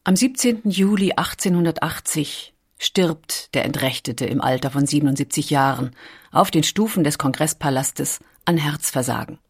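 A woman reading scripted documentary narration in a measured, deliberate storytelling manner with weighty pauses. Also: deep voice, lively intonation, husky.

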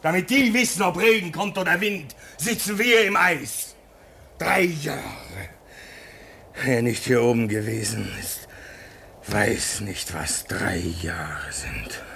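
A man's voice: mid-range voice, expressive, takes it slowly, vocal fry, sharp tone, slight background noise, exaggerated voice. An actor playing a homesick, bitter man stranded at a polar station in a radio drama. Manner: bitter, despairing.

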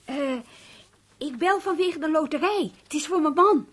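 A woman's voice: high voice, moderate pace, close to an everyday voice. An actress playing a hopeful, nagging wife in a radio play, questioning insistently and stumbling over her words. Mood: flustered. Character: hopeful, nagging working-class wife who believes in luck. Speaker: radio drama actor. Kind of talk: Dutch radio play dialogue.

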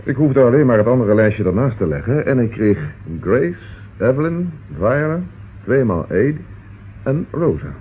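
An actor playing a shrewd, persistent police inspector in a radio drama, speaking with dry, controlled irony. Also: male voice, mid-range voice, expressive, moderate pace, phone-quality sound, close to an everyday voice. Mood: dry, probing. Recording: thin, noisy old radio recording.

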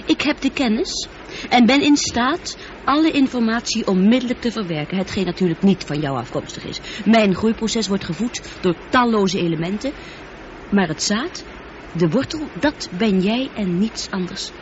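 An actress the playing a highly intelligent, humanlike female spaceship computer in a radio play, speaking in measured, articulate sentences. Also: expressive, natural voice. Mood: calm, analytical. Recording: dull noisy old radio play recording.

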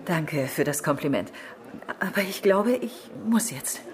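An actress playing a polite, guarded politician, reserved and diplomatic, in a radio drama. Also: female voice, low-pitched, animated, measured pace, a little hiss or hum. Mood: polite, evasive. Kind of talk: German radio drama acting.

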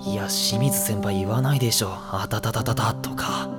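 An actor playing a level-headed, exasperated teenage schoolboy in an anime audio drama, dry and matter-of-fact. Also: male voice, on the low side, moderate pace, natural voice. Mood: weary, exasperated. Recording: prominent music behind the voice.

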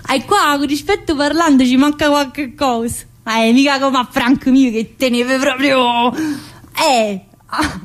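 An actress playing an earthy, wisecracking woman in prison, chatty and crude and swinging from loud outbursts to low muttering. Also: a little slow. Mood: cheeky. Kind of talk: stage monologue.

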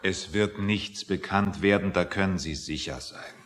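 An actor playing a curious, cautious man in a satirical radio play, fussy and expressive in his speculating. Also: sits low, measured pace, a little hiss or hum. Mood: concerned, speculating.

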